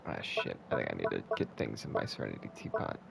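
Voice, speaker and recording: A man's voice: very deep voice, lively intonation, fast talker, creaky, heavy background noise. A man streaming a video game, thinking aloud and swearing now and then as he plays. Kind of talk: casual gameplay commentary. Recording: game music playing behind. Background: game music.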